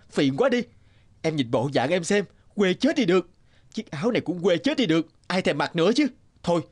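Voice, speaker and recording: An actor playing an ambitious young village man in a cartoon, courteous but with an irritable streak. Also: a little high. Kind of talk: cartoon dialogue acting. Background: background music.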